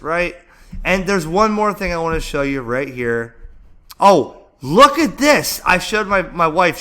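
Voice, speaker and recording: A man with a bright, forceful voice pitched a little high. He talks like an enthusiastic hobby vlogger, casual and chatty, rambling along as he thinks out loud. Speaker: amateur radio vlogger and host. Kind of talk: casual enthusiastic vlog commentary, browsing and narrating.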